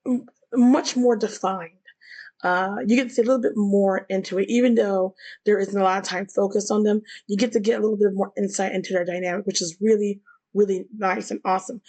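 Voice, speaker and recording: A woman hosting a casual video commentary, chatty and giggly, swearing freely, her voice rough as she recovers from the flu. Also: medium pitch, a little mellow. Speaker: video essay host.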